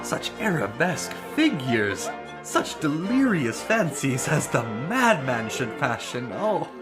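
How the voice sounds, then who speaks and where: A man's voice: mid-range voice, big pitch swings, takes it slowly, music in the background. An actor playing a flamboyant, arrogant prince in an audio drama, grandiose and declamatory as he savors his words. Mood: gloating, delighted. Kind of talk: theatrical audio drama acting.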